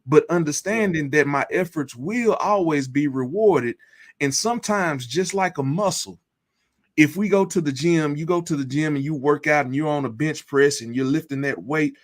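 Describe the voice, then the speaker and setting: A man telling his own comeback story as a motivational speaker, earnest and measured, repeating key phrases. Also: a little high. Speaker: guest speaker.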